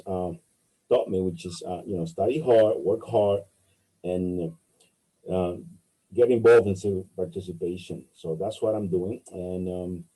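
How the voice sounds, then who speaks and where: A middle-aged man from the Republic, answering interview questions as a candidate, halting with many fillers and restarts. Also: fairly low pitch, warm tone, low-quality recording. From Dominican Republic. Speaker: political candidate.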